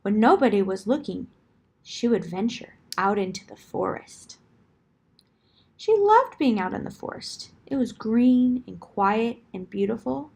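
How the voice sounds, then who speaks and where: A woman narrating a children's fairytale in an expressive storybook style, with deliberate dramatic emphasis. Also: a little high, vocal fry, slightly rough.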